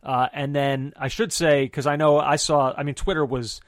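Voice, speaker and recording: A man's voice: on the high side, steady tone, normal speed, no echo, clean studio sound. A man hosting a soccer podcast with enthusiasm, steering the talk through rambling asides. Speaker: podcast host.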